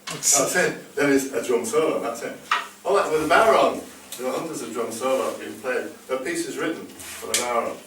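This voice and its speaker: A man's voice: medium pitch, animated, moderate pace. A man, a drummer, chatting easily between pieces on a live stage, with hesitant ums and dry humour. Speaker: bodhrán drummer performing. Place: live stage in a large hall. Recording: echoey hall, far mic, background noise.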